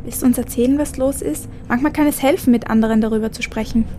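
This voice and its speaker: A woman acts an excitable, kind-hearted young female dreamer in an amateur radio play. Her voice is clearly high, over a faint layer of noise. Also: quick pace, natural voice. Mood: soothing. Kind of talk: amateur audio drama acting.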